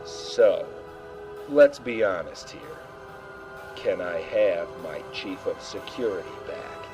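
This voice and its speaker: A man's voice: sits low, takes it slowly, over music. An actor playing a frustrated male starship commander speaking bluntly.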